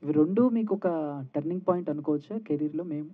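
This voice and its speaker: A man's voice: on the high side, fast talker. A man with an Indian accent asking questions as a reporter at a press event. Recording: muffled, narrow-band event sound.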